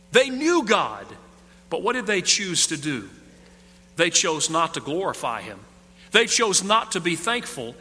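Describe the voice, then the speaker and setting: A man delivers an expository sermon in a high, bright voice. He presses his points with emphasis, sinking lower on the key ones. A long reverb hangs around him, as in a large hall. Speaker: preacher.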